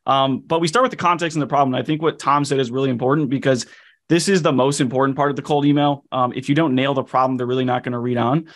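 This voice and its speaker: A man explaining a cold email framework in a relaxed, casual way, with frequent fillers. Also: mid-range voice, quick pace. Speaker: guest sales expert.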